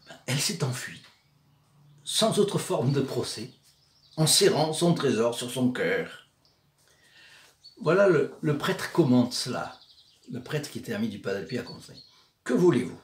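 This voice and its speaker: A man's voice: mid-range voice, big pitch swings, a little slow, long pauses. A man with a Provençal accent preaching and retelling a story, digressive and hesitant, often repeating words. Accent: Provençal southern French. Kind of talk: sermon storytelling. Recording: echoey room.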